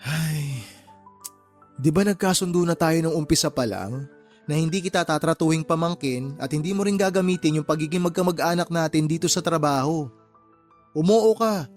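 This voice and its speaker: Radio drama role: man playing stern middle-aged Filipino shop boss, fair but exasperated, lecturing in a high male register. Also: darkish tone, lightly acted. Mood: stern, scolding. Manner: firm, lecturing. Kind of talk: Tagalog radio drama acting.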